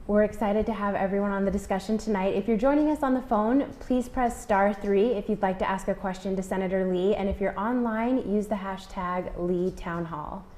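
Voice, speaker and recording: A woman moderating a phone town hall, reading smooth scripted introductions. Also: mid-range voice, fairly quick, few pauses, warm tone. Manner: smooth, read-from-script delivery.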